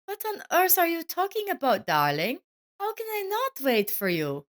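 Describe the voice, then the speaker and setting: A sharp-tongued, unfaithful wife, acted by a woman narrator, pitched very high and full of swings. She is emphatic and confrontational, a defiant figure from an audio melodrama. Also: wildly swooping pitch, moderate pace, exaggerated voice. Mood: feigned surprise.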